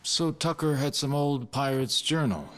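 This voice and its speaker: An actor playing a gruff pirate-crew tough in an English game dub, measured and terse in delivery. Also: male voice, fairly high pitch, a bit bright. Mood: calm, sober. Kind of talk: English-dubbed video game cutscene acting.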